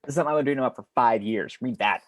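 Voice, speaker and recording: A man playing a brash, sardonic fallen angel in a script table read, arguing excitably with some stammering. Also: medium pitch, rapid-fire, a little hiss or hum, natural voice.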